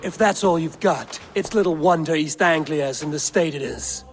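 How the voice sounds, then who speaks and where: An actor playing a cool, self-assured Viking raider with a dry, taunting wit in a video game. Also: male voice, fairly high pitch, normal speed, lightly acted. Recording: game audio with music behind.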